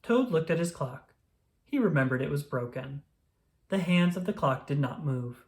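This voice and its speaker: A narrator reading a children's story aloud in an expressive, scripted read-aloud style. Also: medium pitch, moderate pace.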